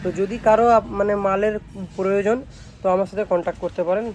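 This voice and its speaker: Young male shop presenter, West Bengali accent, high voice, informal showcase delivery with frequent check-in tags, faint ambient noise. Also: little pitch movement, fast, a little mellow. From West Bengal, India. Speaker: speaker repair shop presenter. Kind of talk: informal product showcase vlog.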